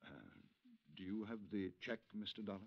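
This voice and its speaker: A middle-aged husband with something to hide, in a vintage radio drama. His voice is low, guarded and curt, with a smooth domineering edge, heard through a muffled old recording. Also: animated, moderate pace. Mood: controlling, patronizing. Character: evasive, controlling middle-aged husband with expensive tastes. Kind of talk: 1950s radio drama acting.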